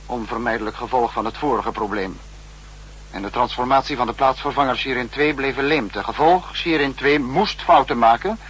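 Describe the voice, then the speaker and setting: A man's voice: mid-range voice, normal speed, fairly bright tone, natural voice. A man acting a stern, analytical state leader in a 1960s radio drama, speaking in a formal, lecturing manner. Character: stern, analytical spy-state leader reproaching his subordinate. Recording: noisy, humming old radio recording.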